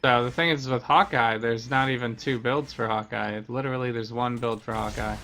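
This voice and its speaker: A man's voice: mid-range voice, fairly even intonation, a little fast, pushed and bright, a little hiss or hum. A man giving casual gameplay commentary as a streamer, explaining things in a conversational way. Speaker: game streamer.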